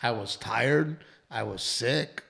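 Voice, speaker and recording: A man's voice: mid-range voice, slow pace, creaky, a bit bright. A man, hosting a casual podcast and rambling in a worn, strained voice, repeating himself and swearing casually. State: voice worn from allergies and shows. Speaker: comedian and podcast host.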